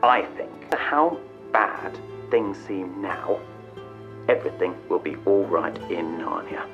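A tender father with a very deep voice, reading a bedtime story slowly. He speaks softly over gentle music. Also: lively intonation, highs cut off, full character voice. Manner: soft and slow. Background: music score.

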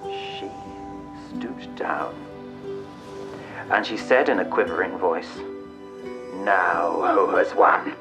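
A soft-spoken, very deep-voiced father. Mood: tender. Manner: soft and slow. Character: tender father reading a bedtime story. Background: music score.

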